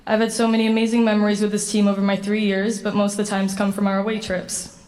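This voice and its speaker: A woman's voice: medium pitch, fast talker, poor audio. A young woman from Sweden, a university student athlete, giving an earnest prepared acceptance speech. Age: 20s. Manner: earnest, steady, slightly read-aloud delivery. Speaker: award recipient giving a speech.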